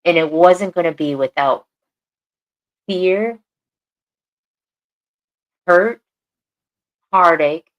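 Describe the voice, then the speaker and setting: A woman's voice: fairly low pitch, extremely slow, pauses often, dull audio. A woman co-hosting a podcast, talking earnestly and repeating phrases for emphasis. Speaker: podcast co-host. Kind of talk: casual podcast chat.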